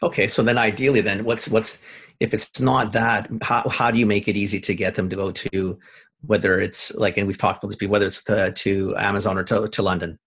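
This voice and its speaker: A man's voice: on the low side, little pitch movement, brisk, a bit bright, husky. A man, co-hosting a casual podcast chat over a video call, rambling and blunt with some casual swearing. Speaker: podcast co-host.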